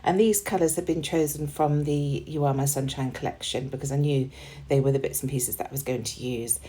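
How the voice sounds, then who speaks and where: A woman with a slightly hoarse voice, chatting in a relaxed way as she narrates a scrapbooking process video, with plenty of ums. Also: very deep voice, a little fast. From United Kingdom. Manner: relaxed and chatty, with frequent ums and small laughs. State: slightly hoarse, losing her voice. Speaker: crafting channel host.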